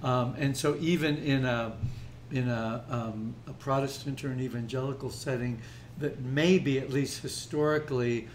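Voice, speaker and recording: A man's voice: mid-range voice, fairly even intonation, moderate pace, slight background noise. An older man leading a ministry, talking earnestly and reflectively in a casual podcast discussion. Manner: earnest, reflective, speaks in long thoughtful runs. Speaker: ministry leader.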